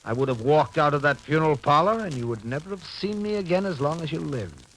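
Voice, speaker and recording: An actor playing a mocking, menacing escaped convict, calm, deliberate and sardonic, in 1940s radio style. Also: male voice, on the high side, poor audio. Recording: old radio recording, faint noise.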